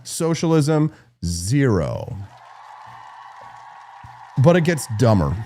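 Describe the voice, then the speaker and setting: A man's voice: medium pitch, mellow. A man hosting a political commentary show, delivering a punchy, sarcastic monologue while losing his voice. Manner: punchy, sarcastic, repeats "right?".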